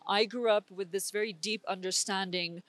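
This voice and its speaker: A woman's voice: mid-range voice, fairly even intonation, moderate pace, a bit bright, dry recording. A young woman from Switzerland, speaking as an interview guest with intense, urgent political commentary and frequent restarts. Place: conference venue.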